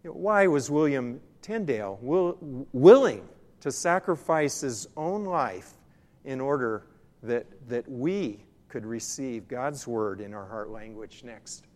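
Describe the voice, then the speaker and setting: Older male missionary speaker, mid-pitched, earnest and halting, presenting slides in a large echoing hall. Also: lively intonation, darkish tone. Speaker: missionary Bible translation consultant giving a presentation. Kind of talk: slide-show mission talk to a church group. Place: large reverberant room or hall. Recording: echoey hall with long reverb.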